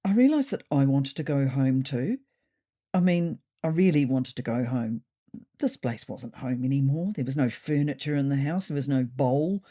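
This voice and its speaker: Female audiobook narrator: measured and expressive, soft-edged timbre, lots of pitch movement in scripted first-person stories.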